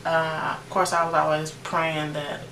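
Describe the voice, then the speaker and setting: An American woman in her late thirties talks casually through a makeup routine. Her voice is low and gravelly at the edges. It is picked up at a distance in an echoey room. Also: little pitch movement, a little slow. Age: late 30s. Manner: chatty, informal, addressing viewers directly. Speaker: beauty vlogger. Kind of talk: casual chatty makeup tutorial. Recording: roomy, distant mic with noticeable background noise.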